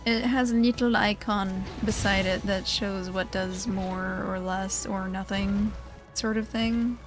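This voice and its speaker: Slow-paced woman gamer over loud music. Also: mid-range voice, steady tone. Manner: self-deprecating, muttering reactions to the game. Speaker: gaming video creator. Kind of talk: casual gameplay commentary. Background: video game music.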